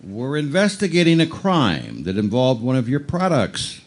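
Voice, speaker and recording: A man playing a deadpan police detective in a live old-time radio drama parody, narrating his case in clipped procedural lines. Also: mid-range voice, moderate pace. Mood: businesslike. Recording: noisy live shipboard stage performance.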